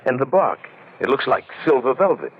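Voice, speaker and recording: A man's voice: mid-range voice, sing-song, normal speed, dull audio, slight background noise, lightly acted. An actor playing a concerned, courteous English businessman who narrates an eerie adventure in a vintage radio drama. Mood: puzzled, insistent. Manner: courteous, earnest, wondering. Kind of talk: 1940s-50s radio drama acting and narration. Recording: band-limited old-time radio recording.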